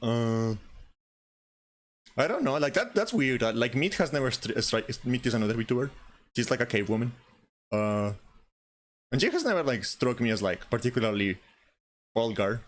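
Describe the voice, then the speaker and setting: A man's voice: mid-range voice, animated, moderate pace, long pauses. A man in his late twenties chatting casually and comically as a streamer, complaining in an exasperated way and swearing freely. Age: late 20s. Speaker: online streamer, podcast host. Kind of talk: casual comedic chat.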